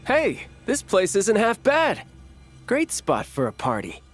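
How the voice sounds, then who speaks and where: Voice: medium pitch, wildly swooping pitch, slow pace, faint music behind, exaggerated voice. An actor playing a breezy, cocky young warrior in a video game, talking casually. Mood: breezy, teasing.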